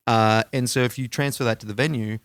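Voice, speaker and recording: A man's voice: medium pitch, steady tone, fairly quick, a bit bright, clean tone, dry recording. An Australian man chatting casually as a podcast co-host, talkative and informal in his speech. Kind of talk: casual music podcast chat.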